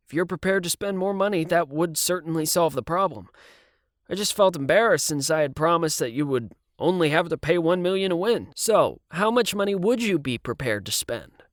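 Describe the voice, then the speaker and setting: A man's voice: fairly high pitch, animated, moderate pace, no echo, clean studio sound, voice pushed up, close to an everyday voice. A man reading aloud and voicing a nervous, evasive male TV producer. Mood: wheedling.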